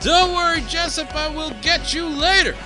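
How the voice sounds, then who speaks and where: A man's voice: extremely high pitch, fairly even intonation, normal speed, over music. An actor playing a gleefully menacing, vengeful madman in an audio drama, taunting his victims. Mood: gleeful menace.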